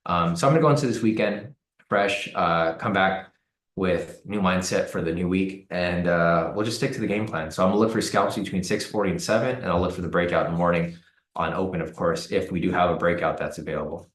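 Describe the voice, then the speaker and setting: A man hosting a live trading stream, hoarse and raspy from the cold, talking casually with many fillers and repeated words. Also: sits low, a little fast, roomy sound. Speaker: trading livestream host. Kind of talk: casual live-stream commentary answering chat.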